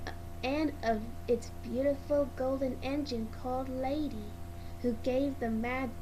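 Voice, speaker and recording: A woman reading a children's storybook aloud as narrator, in a slightly halting, amateur way. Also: fairly high pitch. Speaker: read-along narrator. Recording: clearly noisy amateur recording.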